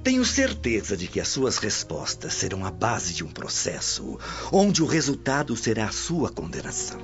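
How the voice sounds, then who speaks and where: A man's voice: medium pitch, vocal fry. A man with a Brazilian accent playing a stern, menacing Roman guard commander in a radio soap, formal and contemptuous. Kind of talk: radio soap acting in Portuguese. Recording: muffled radio drama with music underneath.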